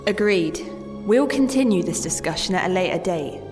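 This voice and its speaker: A level-headed female adventurer played by a woman talks in a practical way at a middling pitch. There is long reverb on the voice and clear music behind, as in a fantasy audio drama. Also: expressive, moderate pace, lightly acted. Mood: composed.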